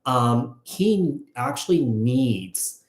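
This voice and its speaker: A man giving a casual live tarot reading, thinking aloud in a halting, repetitive way. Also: mid-range voice, lively intonation. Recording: echoey, roomy sound.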